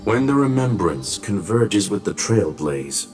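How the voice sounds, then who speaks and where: A man's voice: on the low side, sing-song, measured pace, a little mellow. An actor playing a grave male sage in an English game dub, speaking solemnly over music.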